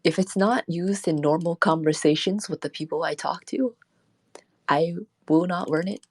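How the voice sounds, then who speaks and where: Woman streamer, low register, relaxed rambling chat with frequent laughs, dry booth sound. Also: moderate pace, dry recording. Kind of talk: casual late-night stream chat.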